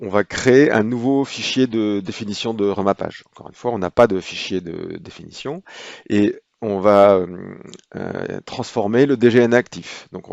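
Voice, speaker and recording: A man with a very low, gravelly voice explains a software procedure step by step, unhurried and often hesitating. The recording is dry and close, with a slightly dull, lo-fi sound. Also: no echo. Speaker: software trainer. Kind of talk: screen-recorded software tutorial.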